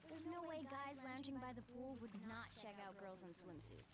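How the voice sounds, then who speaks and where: A woman's voice: high voice, animated, moderate pace. An actress playing a wary, reluctant young woman in an adventure video game. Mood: reluctant, dismayed.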